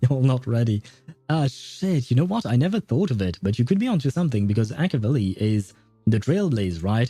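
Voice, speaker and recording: A man's voice: medium pitch, warm tone. A man livestreaming a game, casually thinking aloud and theorizing in an informal, mildly sweary way. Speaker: livestreamer playing a story game. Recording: roomy echo, faint music behind. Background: faint game music.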